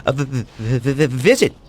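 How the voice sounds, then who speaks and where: An actor playing a simple, giggly mob mechanic with a stutter and a halting, goofy way of talking in a video game. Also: male voice, medium pitch. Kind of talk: video game cutscene acting.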